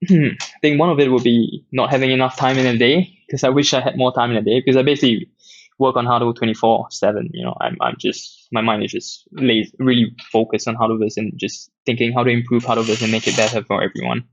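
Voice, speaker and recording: A young man with a Singaporean accent, hosting a casual late-night Q&A, reading questions aloud and answering in a relaxed, rambling way with plenty of fillers. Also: mid-range voice, fast talker, dry recording. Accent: Singaporean English. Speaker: app community host answering questions. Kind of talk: casual livestream Q&A. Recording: dull lo-fi dry audio.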